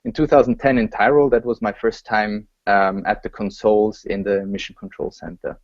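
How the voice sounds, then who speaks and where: A man from Austria, a flight director, introducing himself and recalling past missions in an earnest, measured way. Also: fairly low pitch, fairly even intonation, no room sound, highs cut off. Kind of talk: casual panel introduction. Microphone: video call.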